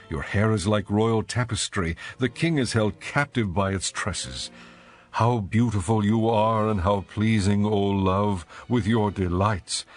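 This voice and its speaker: Audio drama bridegroom, male actor: low register, reverent and poetic, adoring, scripture recitation with light music underneath. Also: close to an everyday voice. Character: adoring male lover praising his bride, ancient royal poetry.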